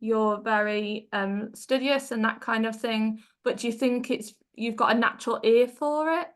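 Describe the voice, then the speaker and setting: Chatty woman interviewer, higher voice. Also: fairly even intonation, moderate pace. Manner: friendly, chatty, laughs easily. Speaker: interviewer host. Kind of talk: interview questions.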